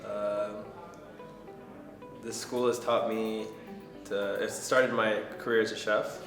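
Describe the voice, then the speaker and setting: A young man gives an earnest interview testimonial, with a low, flat, gravelly voice. He pauses often with ums. He sounds like he is in a long-reverb echoey room with music playing behind him. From Jamaica. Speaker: culinary student and chef.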